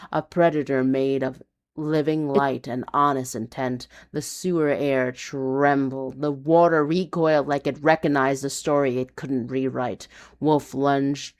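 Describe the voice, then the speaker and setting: Woman narrator, very low pitch, unhurried, dramatic noir fantasy narration, deliberate, intense. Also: creaky, darkish tone.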